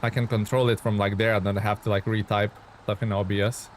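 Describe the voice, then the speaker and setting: A man's voice: fairly low pitch, little pitch movement, brisk, a little hiss or hum. A man from Lithuania chatting loosely on a live game stream, reading out and answering viewer comments with plenty of fillers. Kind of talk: casual live-stream chat.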